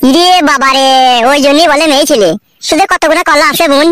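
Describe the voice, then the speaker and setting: Woman doing a squeaky crude cartoon husband. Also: fairly even intonation, fast talker, a bit bright. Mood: bickering. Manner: crude, mocking, cursing. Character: lazy crude husband coming home late. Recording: hissy noise behind.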